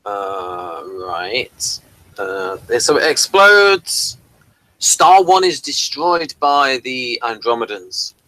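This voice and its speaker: A man's voice: mid-range voice, very animated, extremely slow, pushed and bright, low-quality recording. A man co-hosting a review show, chatting casually and hesitantly about audio drama as he meanders through his points.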